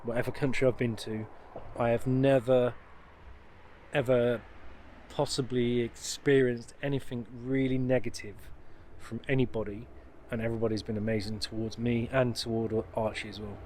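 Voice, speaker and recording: Casual English male vlogger, mid-pitched, chatty and rambling, cheerful. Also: level tone, normal speed, darkish tone, slight background noise. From United Kingdom. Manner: rambling, many ums and restarts, upbeat. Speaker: van life vlogger. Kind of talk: casual vlog talk to camera.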